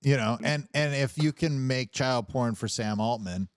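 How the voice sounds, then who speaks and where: An American man chatting casually as a co-host, agreeable and quick to interject, with a sardonic edge. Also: medium pitch, background noise. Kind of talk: casual conversational banter.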